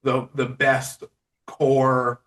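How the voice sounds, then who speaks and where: A man's voice: mid-range voice, completely flat delivery, drawn-out words, fairly bright tone, distant mic. A young man hosting a podcast in an informal, chatty way. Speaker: podcast host. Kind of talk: casual interview chat about horror films.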